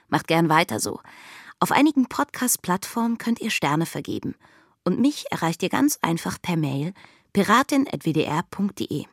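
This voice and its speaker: A woman voicing a pirate who addresses podcast listeners directly and in a friendly way, her voice husky. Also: on the low side, no echo, clean studio sound. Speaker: podcast outro presenter.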